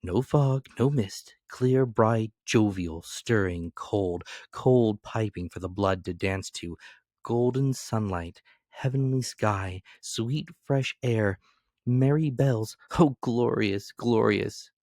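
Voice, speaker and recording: Low-voiced man, theatrical story narrator. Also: slow pace, dry recording, clean studio sound. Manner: theatrical, expressive. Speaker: narrator, reader. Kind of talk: dramatic scripted story narration.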